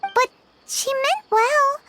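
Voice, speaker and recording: A woman's voice: extremely high pitch, animated, very slow, bright, forceful tone, slight background noise, full character voice. A woman voicing a tiny floating fairy companion in a video game, childlike, kind and earnest. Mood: gentle.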